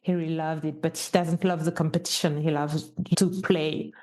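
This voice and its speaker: A woman's voice: sits low, level tone, normal speed, darkish tone. A woman with a Swiss French accent, a native French speaker, talking as a podcast guest in a hesitant way, restarting often. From Switzerland. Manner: hesitant, frequent restarts, non-native phrasing. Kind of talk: casual interview conversation.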